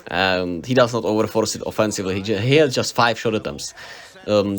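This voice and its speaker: A young man from the Czech Republic with a Czech accent, giving a casual, rambling basketball recap, enthusiastic and often correcting himself on names. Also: lowish voice. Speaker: basketball vlogger. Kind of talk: casual unscripted sports recap.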